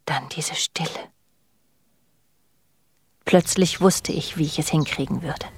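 A woman playing a reflective narrator who recalls her youth in a radio drama, measured and restrained. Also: sits low, breathy edge, exaggerated voice. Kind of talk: scripted first-person radio drama narration.

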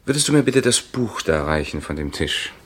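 An actor voicing a weary husband in an old radio crime play, speaking curtly. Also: male voice, a bass voice, very animated, normal speed, full character voice.

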